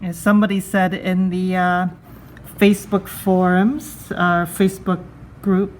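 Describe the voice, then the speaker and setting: Woman reviewer with a slightly low voice. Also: level tone, measured pace, noisy background. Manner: conversational, thinking aloud. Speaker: product reviewer. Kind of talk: casual hands-on review commentary.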